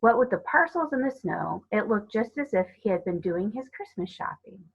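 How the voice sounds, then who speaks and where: A woman's voice: mid-range voice, lively intonation, normal speed, vocal fry, husky. A woman narrating a children's story dramatically, dropping into whispers for emphasis, on a slightly dull recording. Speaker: storybook reader.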